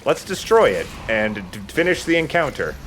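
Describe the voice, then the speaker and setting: Man, animated gamer commentary, casual swearing, playful, slight noise and game sounds behind. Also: fairly high pitch, normal speed, low-quality recording. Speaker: let's play gamer and commentator. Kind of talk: casual gameplay commentary with banter.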